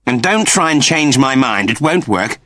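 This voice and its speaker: A man's voice: mid-range voice, expressive, normal speed, sharp tone, no room sound, clean studio sound, voice pushed up. Man acting a snippy, sarcastic, irritable coward. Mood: defensive. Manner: snippy, impatient, sardonic.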